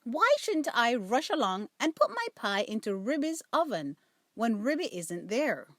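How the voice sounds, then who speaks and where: A woman's voice: fairly high pitch, very animated, unhurried, no echo, clean studio sound. A woman voicing an elegant little female dog in a storybook, eagerly talking things over with herself. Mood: excited, scheming. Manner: eager, puzzled self-talk.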